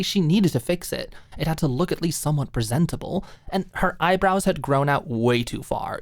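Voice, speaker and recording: A woman's voice: deep voice, no echo. A woman reading scripted fiction expressively as an audiobook narrator in an ASMR style.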